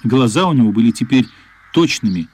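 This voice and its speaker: A man's voice: medium pitch, animated, fairly quick, a little mellow, slightly rough. A man narrating a literary story for a radio play, as a scripted narrator. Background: faint music.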